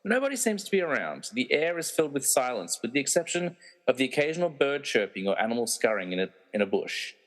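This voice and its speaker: A man's voice: mid-range voice, big pitch swings, quick pace, a bit bright. A man narrating a radio play, reading out scripted scene directions.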